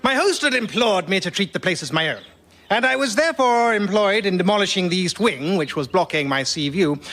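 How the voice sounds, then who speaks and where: Pompous upper-class English gentleman raconteur. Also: high-pitched, lively intonation, brisk, noisy background. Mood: pompous, droll. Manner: pompous, digressive, theatrical. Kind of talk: radio sketch comedy storytelling.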